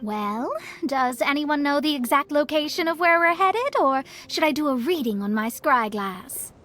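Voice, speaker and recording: Composed, high-voiced young astrologer woman. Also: animated, moderate pace, fairly bright tone. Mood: thoughtful. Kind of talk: video game voice acting.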